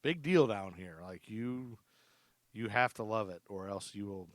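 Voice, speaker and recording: A man's voice: fairly low pitch, expressive, a little slow, dry recording, studio quality. A man hosting a casual history podcast, chatty and rambling, laughing often. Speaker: podcast host. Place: lives in Charlotte.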